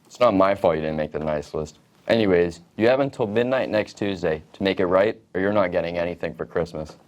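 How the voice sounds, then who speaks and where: A man's voice: low voice, brisk, darkish tone. A young man presenting a student show in a bantering style. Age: teens to young adult. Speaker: student host of a school show.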